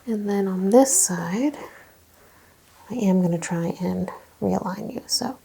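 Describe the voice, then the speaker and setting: A soft-spoken woman, often slipping into whispers, narrates a hands-on treatment as a chiropractor in an ASMR roleplay. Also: medium pitch, slow, gravelly, slight background noise. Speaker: ASMR performer playing a chiropractor.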